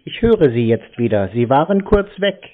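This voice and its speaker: A man speaking in a deadpan, terse, mock-naive way during a prank phone call. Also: medium pitch, normal speed. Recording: thin, dull phone-like sound.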